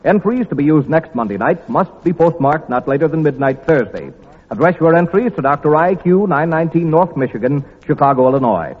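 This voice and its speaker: An American male announcer delivers scripted 1940s radio copy in a husky, fairly high voice. He is formal and emphatic. The sound is thin and tinny, ringing with long reverb. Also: animated, a little fast. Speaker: radio announcer reading contest rules and sponsor message.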